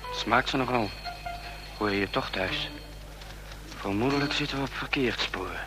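An actor playing a deferential but restless, doubting subordinate secret agent in a radio play. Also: male voice, mid-range voice, moderate pace, music in the background, close to an everyday voice. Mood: doubtful.

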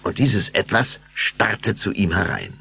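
A man narrating a children's radio play in an expressive storytelling manner. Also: on the low side, measured pace, vocal fry, slightly rough.

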